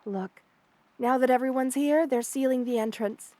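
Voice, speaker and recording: A woman playing a loving, protective spider queen in an ASMR roleplay, speaking tenderly and with gentle regal poise. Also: high-pitched, normal speed, no room sound, close to an everyday voice. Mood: reassuring. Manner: tender, nurturing, gently regal, addresses listener with endearments. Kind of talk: ASMR roleplay, intimate character acting.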